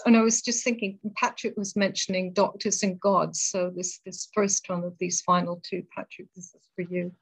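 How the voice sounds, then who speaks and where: Woman reading her poems real slow, careful and measured, pauses between phrases, middle-pitched. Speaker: poet. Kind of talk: poetry reading.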